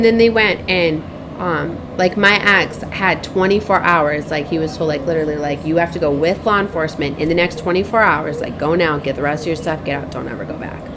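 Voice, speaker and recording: A woman's voice: on the low side, noisy background. A woman giving a casual, rambling spiritual vlog, repeating herself, often checking for agreement and acting out bits of remembered dialogue. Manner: rambling, repetitive, frequently seeks agreement with tag questions. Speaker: spiritual advisor and tarot reader, host.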